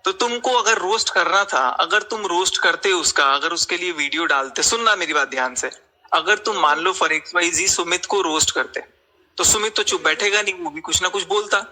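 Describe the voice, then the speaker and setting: A man's voice: high-pitched, moderate pace, bright, forceful tone. A man arguing in an insistent, confrontational way on a recorded group call, repeating his questions. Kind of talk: heated argument in a group call.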